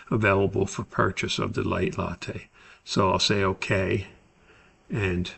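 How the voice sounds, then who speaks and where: A man giving a step-by-step spreadsheet tutorial as an instructor, explaining deliberately. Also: low voice, creaky, breathy edge.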